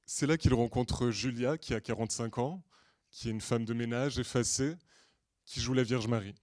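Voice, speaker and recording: A man from Portugal presenting a prepared film pitch as a storyteller, with deliberate, earnest phrasing and hesitant pauses. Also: a little mellow, dry recording, studio quality. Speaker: screenwriter and director pitching a feature film. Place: screening room at a film school.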